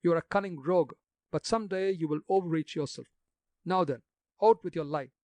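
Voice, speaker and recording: Guy doing a severe rector, sharp and cutting, a bit louder. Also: a little high, steady tone, fairly quick, no echo, lightly acted. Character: stern male village rector.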